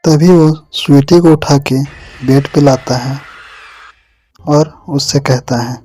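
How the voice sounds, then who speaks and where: Male horror storyteller, soft dark tone, unhurried, faint music underneath. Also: medium pitch, steady tone. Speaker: narrator. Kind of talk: scripted horror story narration.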